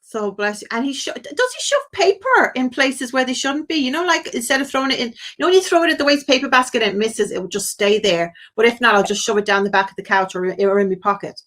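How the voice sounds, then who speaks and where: British lady psychic doing live readings, highish voice, chatty, keeps saying okay and do you understand. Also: animated, quick pace, hardly any pauses, fairly bright tone. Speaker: psychic medium hosting a live phone-in. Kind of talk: casual live spirit reading with a caller.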